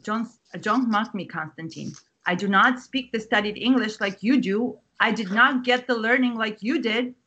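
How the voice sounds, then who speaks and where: A woman playing a sharp, scolding mother. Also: fairly high pitch, normal speed. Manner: sharp, nagging. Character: Hanish, scolding immigrant mother, disapproving and domineering. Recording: dull lo-fi video call audio.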